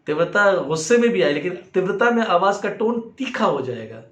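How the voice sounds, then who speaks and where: A man with an Indian accent giving an explanatory lesson in a measured way as a music teacher. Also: high voice, expressive, a bit bright, lo-fi sound. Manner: measured, demonstrates points with acted example phrases. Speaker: music teacher and video host. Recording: roomy, echoing sound.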